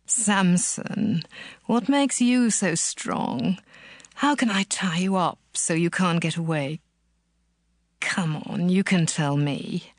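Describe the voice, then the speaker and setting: A woman's voice: lowish voice, takes it slowly, no room sound, clean studio sound. An actress playing a scheming young woman in a dramatized scripture reading, coaxing and reproachful by turns.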